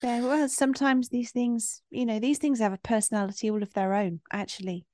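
Higher-voiced woman hosting, dry close sound. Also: fairly even intonation, a little fast, no room sound. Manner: warm, encouraging, steers the conversation. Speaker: podcast host. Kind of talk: casual chat.

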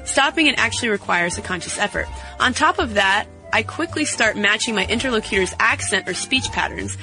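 A woman co-hosts a podcast and reads out listener mail, chatty and amused. Her tone is bright and forward, with faint music underneath. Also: mid-range voice, expressive, brisk, low-quality recording. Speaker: podcast co-host.

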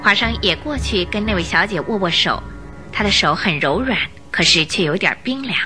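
A woman narrating a scripted Chinese radio drama in an expressive storytelling style. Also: mid-range voice, normal speed, soft background music.

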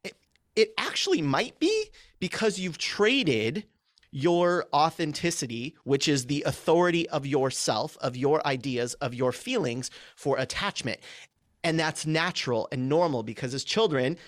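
A man, a public speaking coach, explaining ideas in an energetic, casual podcast conversation full of filler words. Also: fairly high pitch, a little slow, no echo, clean studio sound.

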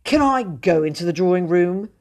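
A woman's voice: lowish voice, animated, moderate pace, a little mellow. Woman voicing a grumbling middle-aged dad, bitter, moaning, storybook. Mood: bitter, exasperated.

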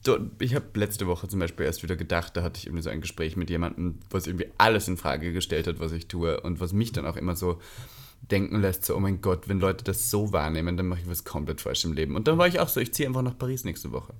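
A man's voice: deep voice, fast talker. A man co-hosting a podcast who talks in a dry, teasing way. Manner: teasing, dry, reads listener messages aloud. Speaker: podcast co-host. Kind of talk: casual podcast chat.

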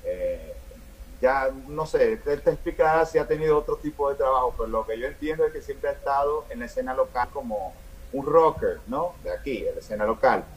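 A man's voice: medium pitch, expressive, brisk, poor audio. A Dominican man with a Dominican Spanish accent, a band singer talking casually in an interview, rambling with many restarts and fillers. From Dominican Republic.

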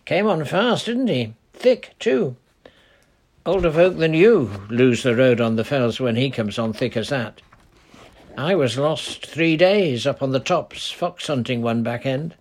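A man's voice: medium pitch, a little slow, pitched above the performer's own voice, close to an everyday voice. A man voicing a kindly old charcoal burner with a northern English dialect, homely and plain-spoken. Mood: friendly.

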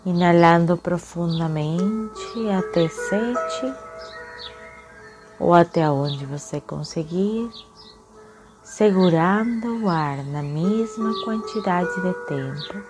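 A woman guides a meditation very slowly, in a fairly low voice. She is soothing and leaves long pauses between phrases. Music plays clearly behind her.

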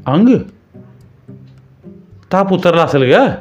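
A man doing a gruff, stubborn village miller. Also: high-pitched, animated, slow pace, dull audio, faint music behind, voice pushed up. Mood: harsh dismissive. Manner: gruff, dismissive, snapping.

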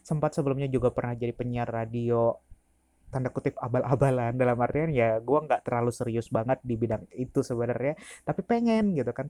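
A young man in his twenties recounts his own story in relaxed Jakarta slang, stopping often for fillers. His voice is warm and mellow, and it is recorded dry and close with no room sound. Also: medium pitch, dry recording. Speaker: university staff. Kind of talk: casual storytelling.

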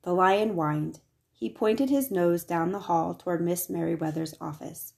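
A woman with a slightly low voice narrates a picture book for children. Her reading is expressive and clearly scripted, shaped as a story read aloud. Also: measured pace. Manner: expressive, acts out the dialogue. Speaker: storybook reader.

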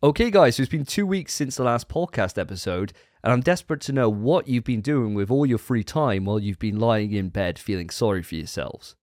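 A man's voice: mid-range voice, lively intonation, fairly quick, hardly any pauses, darkish tone, no room sound. A man hosting a casual podcast, teasing his co-hosts in a jokey way. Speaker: podcast host.

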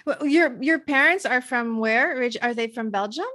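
A woman's voice: fairly high pitch, moderate pace. A woman hosting a podcast from the Philippines, asking questions in a friendly way with many fillers and restarts. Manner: warm encouraging interviewer with many fillers and restarts. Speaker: podcast host. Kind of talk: casual interview chat.

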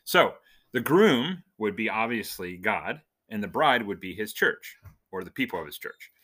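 Bright, forceful man teaching the Bible informally. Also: lowish voice, very animated, moderate pace. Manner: conversational, explanatory, asks questions and answers them. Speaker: podcast host giving Bible teaching. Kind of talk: informal Bible lesson with scripture reading.

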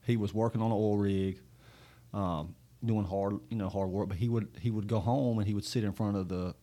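A man's voice: on the low side, fairly even intonation, a little fast, warm tone. Chatty male podcast host. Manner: chatty storyteller, many fillers. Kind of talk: casual podcast conversation.

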